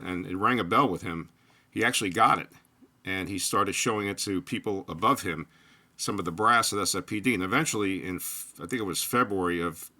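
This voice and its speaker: An older man talking alone about his research in a loose, rambling monologue, with frequent restarts and pauses. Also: sits low, bright, forceful tone. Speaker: true crime researcher. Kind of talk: solo spoken monologue.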